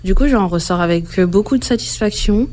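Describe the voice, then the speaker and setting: A woman's voice: medium pitch, brisk, a little mellow. A woman of twenty-three speaking as a radio trainee, hesitant and full of fillers. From France. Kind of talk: radio testimony.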